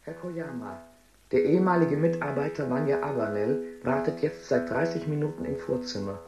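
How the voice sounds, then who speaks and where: Over loud plucked-string music, a dutiful office announcer speaks in formal, clipped phrases, played by a man. The voice is fairly high, in a dystopian radio drama. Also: normal speed, lo-fi sound, lightly acted. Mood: businesslike. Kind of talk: German radio drama acting.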